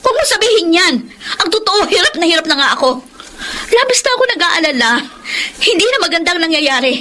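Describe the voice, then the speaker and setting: An actress playing an anxious, long-suffering wife in a radio drama, with emotional, insistent delivery. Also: female voice, very high voice, very animated, brisk, pushed and bright, exaggerated voice. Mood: distressed, pleading. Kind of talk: Tagalog radio drama acting.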